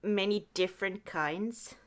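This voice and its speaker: A woman's voice: medium pitch, unhurried. A woman hosting a podcast in a casual live chat, nervous and giggly. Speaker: podcast host.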